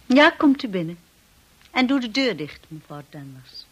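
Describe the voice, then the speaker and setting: A woman's voice: a little high, sing-song, moderate pace. An actress playing a devoted, steadfast young wife and lady of the manor in a radio drama. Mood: firm, cool. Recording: noisy old radio play recording.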